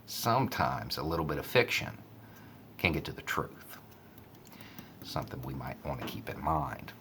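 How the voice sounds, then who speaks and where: A man giving casual, conversational commentary and storytelling in a measured way. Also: a bass voice, very animated, background noise. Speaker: online commentator.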